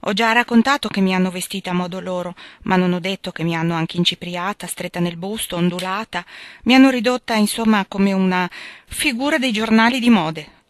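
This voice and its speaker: A woman's voice: lowish voice, fairly even intonation, fast talker, hardly any pauses, a bit bright, no echo, studio quality, natural voice. A woman reading a storybook aloud, voicing an embarrassed young woman confessing to her mother.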